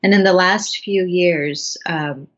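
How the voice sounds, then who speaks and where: A woman's voice: on the low side, slow pace. A woman in her thirties from Bangladesh, a writer and actress guest talking thoughtfully and earnestly in an interview. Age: 30s. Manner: thoughtful, earnest, with frequent restarts. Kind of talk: reflective interview talk. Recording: dull lo-fi sound.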